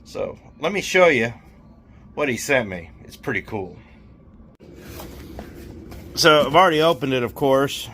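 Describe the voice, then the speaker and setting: Folksy guy hosting a fix-it vlog, casual and jokey, mid-pitched voice, talking as he tinkers. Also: sing-song, measured pace, long pauses, pushed and bright, slight background noise. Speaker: host of a CB radio repair video. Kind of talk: casual hands-on video chat.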